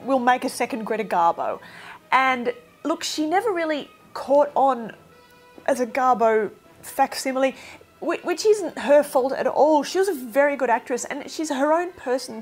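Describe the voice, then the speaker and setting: A woman giving an informal, opinionated film review in a chatty, emphatic way. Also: fairly high pitch.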